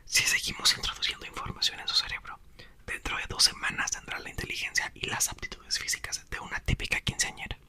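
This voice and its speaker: A man voicing a tired, proud scientist father in a hushed storybook whisper. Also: fast. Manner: whispered, only lightly voiced as a character. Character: exhausted but proud male scientist father.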